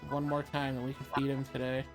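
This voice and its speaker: A woman's voice: extremely low pitch, completely flat delivery, fast, over music. A woman chatting casually as she plays a video game and comments on it. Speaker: game player. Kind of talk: casual gameplay chat.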